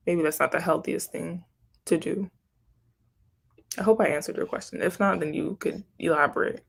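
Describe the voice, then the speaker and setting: Young woman, casual vlogger, rambling chatty answers, slightly low pitch. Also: creaky. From Haiti, raised in Florida. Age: young adult. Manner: chatty, rambling, self-questioning asides. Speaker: YouTuber answering viewer questions. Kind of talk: casual chat.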